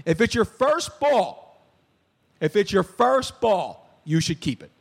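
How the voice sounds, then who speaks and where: A man hosting a casual sports podcast, animated, often stumbling and restarting his sentences. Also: high-pitched, slow, creaky, slightly rough. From Philadelphia area. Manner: animated, stammering restarts, mock play-by-play calls. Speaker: podcast host.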